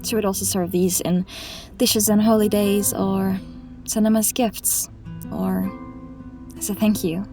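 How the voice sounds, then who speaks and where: Slow-spoken, righteous fantasy heroine played by a woman. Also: mid-range voice, little pitch movement, over music, natural voice. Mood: tender, grieving. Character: tall, grieving, righteous young woman in inquisitor's disguise. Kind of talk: fantasy audio drama acting.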